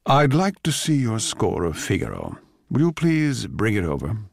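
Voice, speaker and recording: A man's voice: on the low side, huge pitch swings, normal speed, creaky, exaggerated voice. A man playing a haughty, indignant, disapproving official of an imperial court, in a dramatic play reading. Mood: officious.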